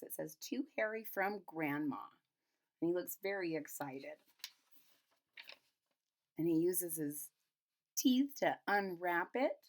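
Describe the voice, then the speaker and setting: A woman reading a children's storybook aloud, pausing to retell and explain it simply for young listeners. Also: mid-range voice. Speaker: storybook reader. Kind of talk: children's picture-book read-aloud with retelling in plain words.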